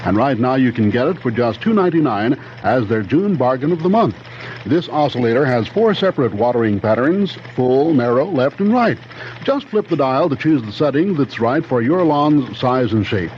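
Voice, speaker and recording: Vintage radio pitchman; animated male voice, salesmanlike and polished, lo-fi recording. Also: moderate pace. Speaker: commercial announcer. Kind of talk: 1970s radio advertising read. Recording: dull old radio recording, faint noise.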